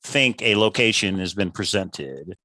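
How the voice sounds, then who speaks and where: A man's voice: low voice, lively intonation, unhurried, pushed and bright, no echo. A man co-hosting a livestream in casual discussion, reasoning aloud with questions and rephrasings. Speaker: livestream co-host.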